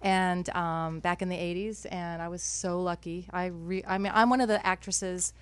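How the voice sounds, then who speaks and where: A woman chatting as a guest on an interview show, bubbly and quick to laugh. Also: lowish voice, steady tone, smooth, dry recording. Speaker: guest dialogue coach. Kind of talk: casual interview chat.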